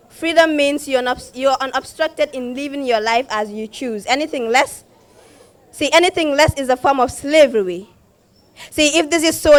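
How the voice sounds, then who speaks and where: A woman's voice: high voice, no room sound. A young woman performing spoken word poetry at a slam, impassioned, with a repeated refrain and rising emphasis. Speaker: slam poet.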